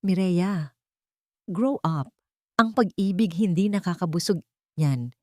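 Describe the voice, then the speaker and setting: A woman's voice: on the low side, slow, dark tone, no echo. A woman narrator plays a cold and cynical villainess in an audio romance. She is scornful and money-minded, recorded close and dry.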